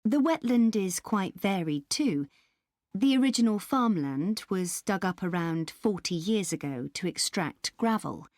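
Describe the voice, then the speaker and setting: Slow, lively, helpful park guide woman. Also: mid-range voice, no room sound, clean studio sound. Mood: helpful, informative. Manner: clear explaining, helpful, deliberate. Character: helpful, informative female country park staff member. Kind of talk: scripted listening-test dialogue.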